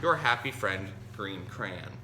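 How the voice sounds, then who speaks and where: A man's voice: lowish voice, animated, slow pace, voice pushed down. A man voicing a contented, polite green crayon from a picture book, earnest and congratulatory as a peacemaker. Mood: cheerful, earnest. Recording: roomy echo, some noise.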